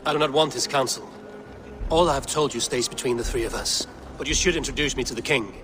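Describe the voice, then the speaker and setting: An actor playing a grave, steady Viking warrior on the hunt for a secret order in a video game cutscene. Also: male voice, medium pitch, quick pace, over music, lightly acted. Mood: grave, determined.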